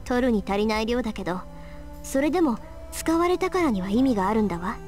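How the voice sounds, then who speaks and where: A woman playing a bossy, sharp-tongued young female mage in an anime visual novel, brisk and assertive. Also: on the high side, expressive, faint music behind, close to an everyday voice. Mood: serious.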